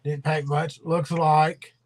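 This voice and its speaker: A man's voice: a little high, little pitch movement, slow pace. A man hosting a casual livestream, rambling and drawling, his voice trailing off into mumbles. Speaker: livestream host.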